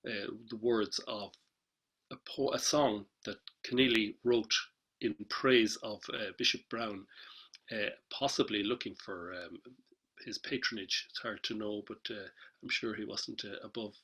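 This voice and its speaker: A man's voice: on the low side, steady tone, unhurried, sharp tone, husky. A man speaking casually as a panellist on a webinar, hesitant, with many fillers and restarts. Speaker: panellist, traditional musician. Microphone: video call.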